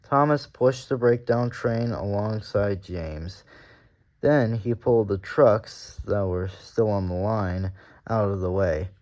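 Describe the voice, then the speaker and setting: A man's voice: on the low side, slow pace, darkish tone, highs cut off. A man narrating a children's storybook aloud as the story reader.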